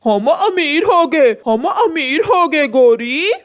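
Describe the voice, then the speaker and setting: A woman voicing a male crow husband in a children's cartoon, dismissive and excitable, loud and scoffing. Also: sits high, takes it slowly. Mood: overjoyed.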